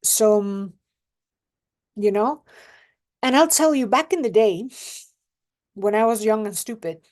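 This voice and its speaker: A young adult woman, a relationship coach giving advice in an unhurried, reflective way and often checking in with the listener. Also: medium pitch, darkish tone. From Mexico. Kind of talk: casual advice monologue to camera.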